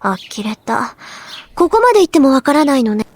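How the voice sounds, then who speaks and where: A woman playing a proud, composed, sharp-tongued teenage schoolgirl in a Japanese anime visual novel, polite but cutting. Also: high voice, big pitch swings, quick pace, a little hiss or hum. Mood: scornful.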